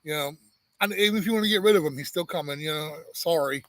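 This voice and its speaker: A man with a flat, monotone delivery chats casually as a live-stream co-host, teasing and circling back on himself with plenty of restarts. His voice sits a touch high for a man and sounds close and dry. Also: quick pace, no echo. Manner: teasing, rambling, many restarts. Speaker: homestead channel co-host.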